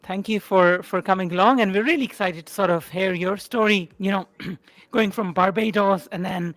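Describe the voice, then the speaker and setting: A man's voice: high voice, normal speed, a bit bright, no room sound, soft background music. A man hosting a podcast, welcoming his guest and prompting him with friendly questions and frequent yeahs. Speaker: podcast host. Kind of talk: podcast interview.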